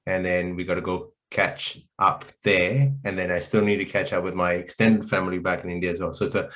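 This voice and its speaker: A man in his thirties from Bahrain, a panel guest talking casually with many pauses and repeats. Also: deep voice, level tone, fairly bright tone, low-quality recording. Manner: hesitant, many fillers and repeats. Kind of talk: casual conversation.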